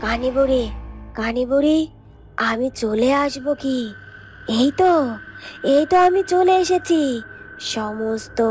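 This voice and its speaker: A woman's voice: a little high, a little mellow. A woman voicing a boastful, power-hungry old witch in a fairy-tale cartoon, with an imperious, gloating delivery. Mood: gloating triumph.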